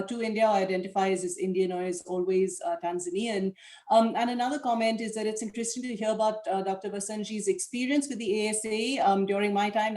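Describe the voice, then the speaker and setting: A woman's voice: medium pitch, warm tone. Woman moderator on an echoey video call. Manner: measured, thoughtful, reads audience questions aloud. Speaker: panel moderator. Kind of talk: online panel discussion.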